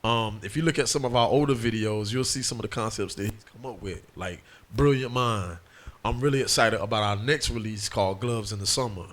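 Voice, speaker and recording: A man's voice: fairly low pitch, brisk, fairly bright tone. A man, a music producer, talking in a laid-back casual interview and often tagging "and whatnot".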